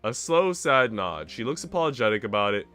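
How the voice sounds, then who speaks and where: A man's voice: mid-range voice, very animated, a little fast, sharp tone, clean tone, faint music behind. A man streaming a visual novel, reading its narration aloud expressively with casual commentary.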